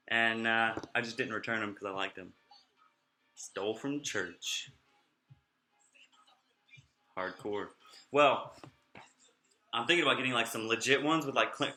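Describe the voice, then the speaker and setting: A man's voice: mid-range voice, sharp tone, echoey room. A young man vlogging in a casual, rambling way, sounding nasal and slurring his words a little. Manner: rambling, off-topic, chatty.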